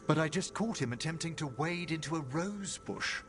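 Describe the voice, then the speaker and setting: Game necromancer scholar, male actor: older, gentlemanly, anxious thinker, theatrical diction, animated pitch, score underneath. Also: normal speed, natural voice. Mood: courteous. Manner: formal, erudite, theatrical phrasing. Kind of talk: video game cutscene acting. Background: music.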